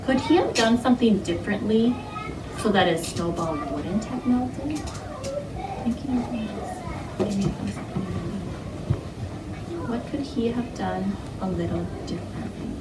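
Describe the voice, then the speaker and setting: A woman's voice: medium pitch, normal speed. A woman teaching young children in an interactive read-aloud, using simple instructions and frequent questions and prompts. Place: indoor classroom with carpet. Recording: echoey, noisy room, distant microphone.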